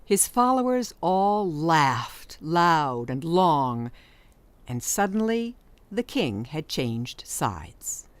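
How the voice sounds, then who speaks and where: A woman's voice: low voice, big pitch swings, drawn-out words, dry recording. A woman narrating an audiobook story in a scripted, dramatic storytelling style.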